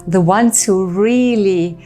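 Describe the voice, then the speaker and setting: A woman from Germany speaks as an interview guest in a mid-range voice. She is thoughtful and pauses often with um and uh as she forms her answers. Faint music sits under her speech. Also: mid-range voice, warm tone. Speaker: guest, corporate trainer.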